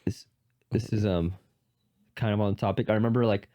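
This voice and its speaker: A young man co-hosting a podcast, telling stories in a relaxed, casual way with lots of filler words. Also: fairly low pitch, normal speed. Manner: relaxed storyteller, many fillers. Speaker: podcast co-host. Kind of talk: casual chat.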